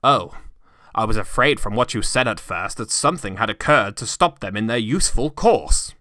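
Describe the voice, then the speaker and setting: A man voicing a gruff, miserly old businessman with a curt, scornful, growling delivery in a storybook reading. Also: lowish voice, expressive, moderate pace, bright, forceful tone, dry recording, clean studio sound. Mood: cold sarcasm. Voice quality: growling, muttering. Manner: curt, cold, dismissive.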